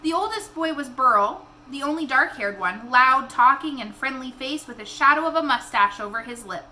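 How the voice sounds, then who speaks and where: A woman reading a children's novel aloud in an expressive storytelling style. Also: high-pitched, moderate pace.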